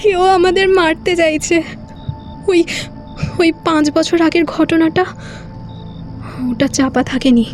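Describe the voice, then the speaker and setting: Very shrill terrified young woman. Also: steady tone, slow, long pauses, soft background music.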